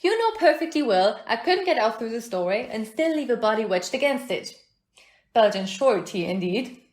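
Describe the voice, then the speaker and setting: A woman's voice: fairly high pitch, sing-song, moderate pace, a little mellow, reverberant. A woman playing a feisty, unflappable single hotel resident in an audio play, speaking in a brusque, plain-spoken, indignant way. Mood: defensive, indignant.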